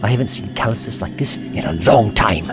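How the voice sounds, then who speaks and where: A man's voice: on the low side, animated, brisk, close to an everyday voice. A man playing an eccentric, witty time-travelling Doctor in an audio drama, wry, theatrical and articulate. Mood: outraged. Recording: music behind.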